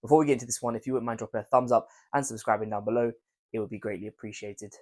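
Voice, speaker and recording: A young man with a croaky voice from a cold, chatting casually to his viewers about fantasy football picks and rambling through his reasoning. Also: mid-range voice, steady tone, rapid-fire, darkish tone. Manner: rambling, repeats words, hedges with "I think". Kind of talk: casual video commentary.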